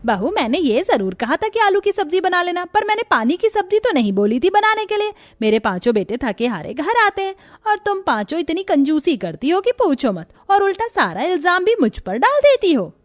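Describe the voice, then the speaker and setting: A woman's voice: extremely high pitch, very animated, moderate pace, hardly any pauses, no echo. A woman voicing a stingy, cunning middle-aged mother-in-law in a cartoon story, scolding and accusing in a nagging manner. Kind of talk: Hindi cartoon moral-story dialogue.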